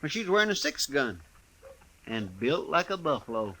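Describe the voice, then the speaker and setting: An actor playing a timid, countrified deputy sidekick with a rural American accent in a 1950s radio western. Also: male voice, mid-range voice, big pitch swings, normal speed, pushed and bright. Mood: gossipy, amused. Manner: countrified, deferential, stammering when cornered. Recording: slightly noisy old radio recording.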